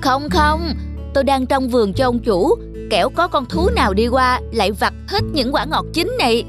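A woman's voice: sits high, big pitch swings, normal speed. A woman voicing a clever, loyal farm dog in a children's cartoon fable, coaxing with feigned innocence. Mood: innocent, persuasive. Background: background music.

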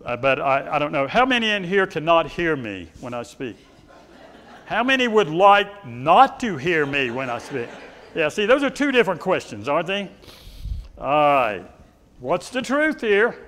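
Loud, animated middle-aged preacher. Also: male voice, on the high side, normal speed. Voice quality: loud, carrying voice. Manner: animated preaching, pacing and gesturing, rhetorical questions. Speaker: pastor. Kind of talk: sermon. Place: church sanctuary, indoors. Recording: reverberant church sanctuary.

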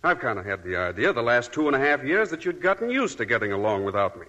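An actor playing a bitter escaped convict husband in a 1940s radio drama, mocking and sardonic. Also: male voice, mid-range voice, big pitch swings, quick pace, bright, forceful tone. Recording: muffled old radio recording.

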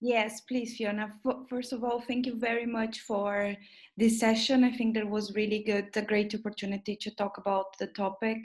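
A woman's voice: medium pitch, little pitch movement, moderate pace. A woman taking part in a seminar on a video call, asking a question politely and explaining it carefully at length.

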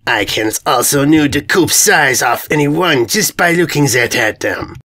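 A man voicing a brash, dim armored invader who shouts bombastically and mangles his words in a fantasy story. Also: on the high side, expressive, measured pace, pitched above the performer's own voice, heavily acted. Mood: brash, demanding.

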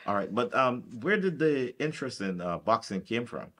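A man's voice: medium pitch, sing-song, moderate pace, a bit bright. A middle-aged man conducting a conversational sit-down sports interview, often repeating words for emphasis. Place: furnished indoor room, seated.

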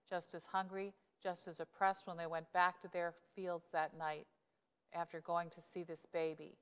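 A woman's voice: on the low side, clean tone. A middle-aged woman pastor gives an earnest, conversational sermon, with emphatic rises, on a lapel mic in a church sanctuary. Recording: long church reverb.

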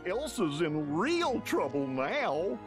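A man's voice: high voice, sing-song, slow pace, music in the background, full character voice. A man voicing a tall, good-natured, goofy cartoon dog in a video game, with an amiable, dopey drawl. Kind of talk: video game cutscene acting.

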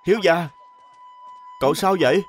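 An actor playing a young, deferential manservant, humble and earnest, in an animated drama. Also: male voice, fairly high pitch, normal speed, soft background music, close to an everyday voice. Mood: eager.

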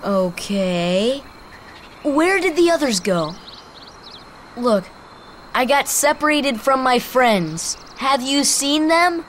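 A man's voice: very high-pitched, expressive, slow pace, pauses often, fairly bright tone, slight background noise, full character voice. An actor playing an earnest, friendly young teenage boy adventurer in a game, hesitant, with an American accent. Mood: puzzled. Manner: hesitant, puzzled. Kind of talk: video game cutscene acting.

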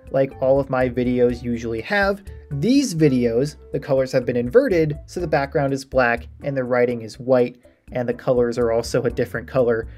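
A man talks through an on-screen tour in a casual, explanatory way. His voice sits at a medium pitch, with music playing behind it. He sounds like an online tutor showing viewers around step by step. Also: a little mellow. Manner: informal, explanatory. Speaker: math tutorial creator giving a site tour. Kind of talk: casual screen-share walkthrough. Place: voice-over for a screen recording.

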